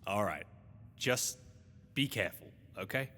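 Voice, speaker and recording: A man's voice: on the low side, animated, measured pace, pushed and bright. A man playing a loyal, slightly anxious young adventurer's sidekick in an audio drama, earnest and questioning. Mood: concerned. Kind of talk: scripted audio drama dialogue. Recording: long reverb, music behind.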